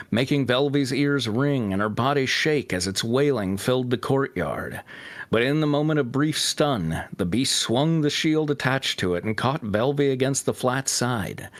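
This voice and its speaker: A man's voice: mid-range voice, a bit bright, dry recording. A man reading a fantasy story aloud as its narrator. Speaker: fan fiction reader and narrator. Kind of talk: scripted story narration with joking asides.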